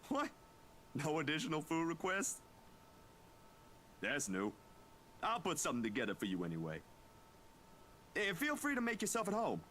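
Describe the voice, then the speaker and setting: Man playing a lively, awkward, kindly young guy. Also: a little high, moderate pace, long pauses, slight background noise, heavily acted. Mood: casual, hospitable. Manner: casual, reassuring, repeats words when flustered. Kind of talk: amateur visual novel voice acting on a livestream.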